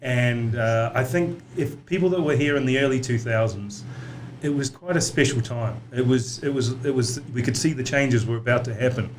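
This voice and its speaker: A man's voice: medium pitch, moderate pace, a little hiss or hum. Hesitant, rambling podcast host, a man. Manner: hesitant, rambling questions with many fillers. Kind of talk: casual interview.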